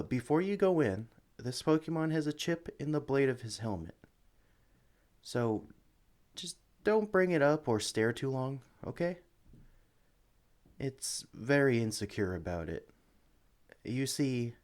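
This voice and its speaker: A man playing a friendly, excitable young sanctuary employee who chats eagerly and apologetically to a new recruit in a one-sided audio roleplay. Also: medium pitch, natural voice. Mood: careful, reassuring. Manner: chatty, eager, apologetic, with hesitations. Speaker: experienced employee showing a new recruit around. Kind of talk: ASMR roleplay, one-sided conversation with the listener.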